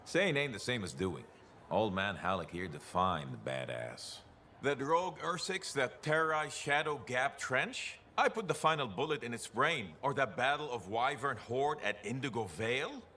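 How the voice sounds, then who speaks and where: A man's voice: medium pitch, big pitch swings, moderate pace, sharp tone, a little hiss or hum. A man playing a boastful older veteran fighter in a video game, telling war stories with relish. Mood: proud, boastful. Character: boastful gruff old veteran freelancer man. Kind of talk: video game cutscene acting.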